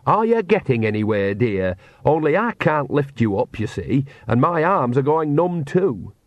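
A man voicing an easygoing old country witch woman who talks in a folksy, conversational, unhurried way. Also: medium pitch, very animated, no echo, muffled recording, voice pushed up. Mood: chatty, calm. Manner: folksy, conversational, rustic grammar.

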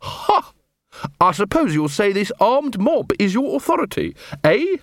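A man as a snarling, irate watch captain, pitched up. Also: sing-song, moderate pace, no echo, clean studio sound. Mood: indignant. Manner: snarling, hissing, indignant. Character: irate, bullying male watch captain, sneering and bigoted.

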